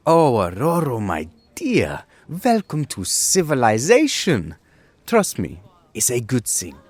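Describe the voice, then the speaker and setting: A man's voice: medium pitch, wildly swooping pitch, takes it slowly, a little mellow, no echo, exaggerated voice. A man playing a cheeky, theatrical young German showman in an audio drama, with a German accent. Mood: playful. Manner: theatrical, self-important, playful.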